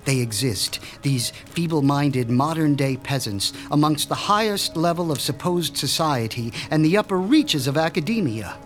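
An actor playing a proud, formal mad-scientist doctor in a radio drama, speaking with precise, pompous diction. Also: male voice, a little high, animated, normal speed, hardly any pauses, faint music behind, natural voice. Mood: measured. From Switzerland. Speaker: mob doctor.

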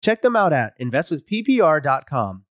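Lively male ad announcer. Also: a little high, brisk, no echo, studio quality. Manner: upbeat, salesy. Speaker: ad reader. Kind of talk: scripted ad read.

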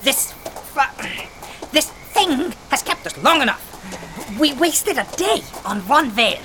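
A woman voicing an earnest, impatient non-human companion with horns in a fantasy audio drama, speaking in a halting, stammering way. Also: high-pitched, sing-song, exaggerated voice. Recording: clear background ambience noise.

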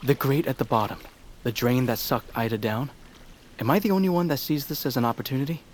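A man's voice: medium pitch, brisk, natural voice. A man acting in an audio drama as a driven doctor, urgent and persuasive. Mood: intent. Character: driven, urgent male doctor set on a risky plan. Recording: faint ambient noise. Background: faint ambience.

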